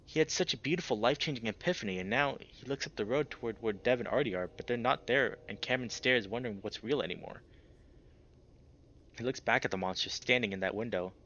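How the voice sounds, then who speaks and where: A man narrating a visual novel aloud as a let's player, dramatic and expressive, swearing freely in casual reactions. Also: mid-range voice, fast talker, dull audio. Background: music.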